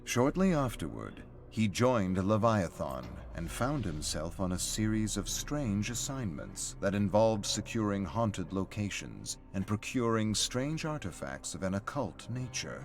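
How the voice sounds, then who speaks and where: A man reading scripted story narration as the narrator of a game's lore. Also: on the low side. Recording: faint music under the narration. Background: music.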